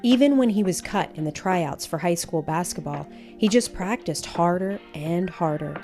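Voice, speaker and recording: A woman reading a children's picture book aloud as a storyteller, in an expressive, measured way. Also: lowish voice, faint music behind.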